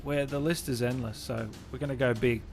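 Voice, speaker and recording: A man's voice: mid-range voice, steady tone, a little fast, darkish tone, faint music behind. A man in his late twenties hosting a gaming livestream, chatting informally with viewers and guests. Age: late 20s. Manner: informal, blokey, addresses viewers as guys. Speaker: host of a gaming livestream show. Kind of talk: casual livestream chat and interview.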